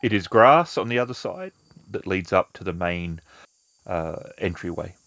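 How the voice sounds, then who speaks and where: A man's voice: deep voice, animated, moderate pace, fairly bright tone. A man casually narrating and describing scenes as game master of a tabletop roleplaying game.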